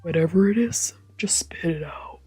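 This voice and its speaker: A woman voicing a cool, terse, brooding young male painter in a low, breathy, flat delivery. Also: normal speed, dry recording, faint music behind. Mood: flat, aloof.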